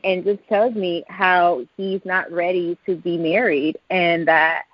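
A woman in her thirties with a Puerto Rican accent, telling her life story as a podcast guest in a fast, excited way. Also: on the low side, no room sound. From Puerto Rico. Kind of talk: storytelling interview. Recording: dull, lo-fi podcast audio.

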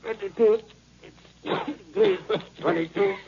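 Curt 1930s radio artillery officer, noisy. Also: male voice, high voice, slow pace, lo-fi sound. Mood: urgent. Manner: curt, barked commands. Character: commanding army artillery officer barking firing orders. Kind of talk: 1930s American radio drama acting.

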